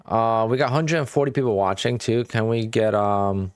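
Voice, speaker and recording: A man in his thirties from Poland with a Polish accent, chatting casually with viewers while live streaming, talking in short relaxed bursts. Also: lowish voice, normal speed, smooth, no echo, clean studio sound. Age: 30s. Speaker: day trading streamer.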